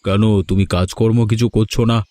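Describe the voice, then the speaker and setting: A man voicing a well-off village landlord in a cartoon, speaking in a measured, authoritative way. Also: fairly low pitch, steady tone, dark tone, dry recording, lightly acted. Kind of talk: Bengali cartoon dubbing.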